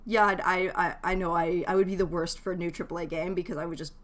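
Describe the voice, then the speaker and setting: Low-pitched, chatty woman streamer. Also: steady tone, fast, a bit bright. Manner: rambling, chatty, often breaks off to address chat; jokey, laughs easily. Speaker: game streamer. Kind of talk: casual livestream chat, giving advice to viewers.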